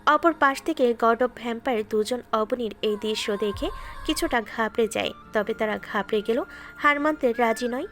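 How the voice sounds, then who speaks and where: A woman reading a scripted fantasy story as its narrator, in a steady, dramatic storytelling manner. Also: on the high side, moderate pace. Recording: music bed behind.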